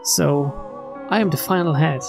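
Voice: medium pitch, moderate pace, creaky. A streamer reading visual novel text aloud in a dramatic narrating style. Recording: game music behind the voice.

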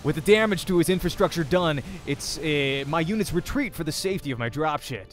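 A young man with a slightly high voice narrates a visual novel for a let's play. He reads in the first person and tells it dramatically, like a story. Faint music runs underneath. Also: animated, fairly quick.

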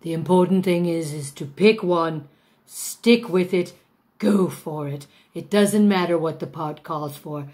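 A woman's voice: fairly low pitch, unhurried, warm tone, close to an everyday voice. A woman playing a vain old stage diva. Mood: grandiose. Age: older. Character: pompous aging female stage diva, vain. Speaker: acting teacher in a mock masterclass. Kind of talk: comic character monologue.

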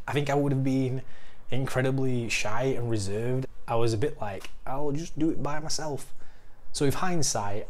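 A young man with a Northern English accent talks candidly and self-deprecatingly about gym training, explaining as he goes. His pitch sits mid-range for a man. He is recorded close on a lapel mic in a living room, with faint background noise. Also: a little mellow. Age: young adult. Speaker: fitness coach, vlogger. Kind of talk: casual talk to camera.

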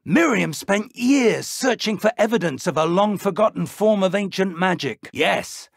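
An old British professor of wizardry for a video game. His voice is fairly high for a man and rasps with husky grain, recorded dry and close. He is an earnest, scholarly mentor who speaks in a thoughtful, formal way. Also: lively intonation, unhurried, dry recording, exaggerated voice. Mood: projected, instructive. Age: older. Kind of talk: video game character dialogue.

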